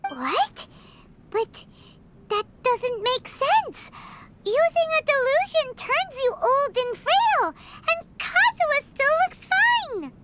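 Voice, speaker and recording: A woman voicing a small, childlike, excitable fairy companion in a video game, chirpy and exclamatory. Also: very high voice, slow pace, heavy background noise, full character voice. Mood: puzzled, indignant. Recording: loud music behind the voice. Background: loud music.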